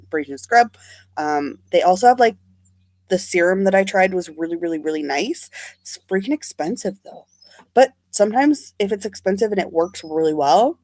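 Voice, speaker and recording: A woman's voice: lowish voice, darkish tone, no echo. A young woman chatting casually on a livestream, sniffly from allergies and rambling as she answers comments. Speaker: beauty vlogger.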